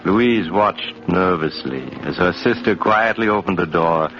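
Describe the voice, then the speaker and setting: A man narrating a 1950s radio mystery in a measured, dramatic, scripted way. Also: low voice. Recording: muffled 1950s radio recording. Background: faint music.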